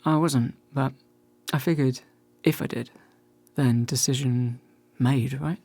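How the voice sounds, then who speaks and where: A man's voice: medium pitch, steady tone, slow pace, creaky, a little mellow, no room sound. An actor playing a wry, self-deprecating, slightly hesitant man in a dorm, in a naturalistic radio play. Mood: hesitant, confessional.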